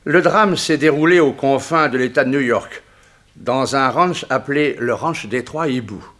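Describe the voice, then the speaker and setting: An actor playing a weary, kindly old judge in a 1960s French radio drama, measured and formal. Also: male voice, medium pitch, a bit bright, lightly acted. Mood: earnest. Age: older.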